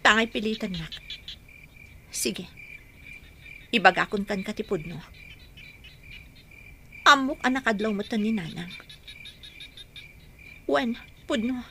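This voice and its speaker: A woman's voice: mid-range voice, bright, forceful tone, a little hiss or hum. An actress in a radio drama playing a guilt-torn mother, pleading in tearful melodrama. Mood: tense confession. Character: guilt-torn mother Melody leaving her husband and children.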